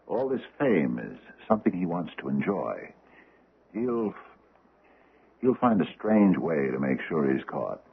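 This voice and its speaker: An actor playing an aging, pompous judge with hesitant, formal phrasing in an old-time radio drama. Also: male voice, low voice, sing-song, unhurried, vocal fry, slightly rough, heavily acted. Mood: brooding, musing. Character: aging pompous judge, ponderous, secretive and grumbling. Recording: muffled, band-limited vintage radio recording.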